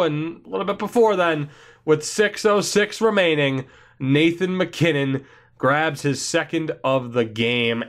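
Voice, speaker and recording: A young man hosting a gaming video, giving excitable, rambling let's play commentary on a hockey video game while congested from a cold. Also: medium pitch. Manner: excitable, rambling, thinks aloud.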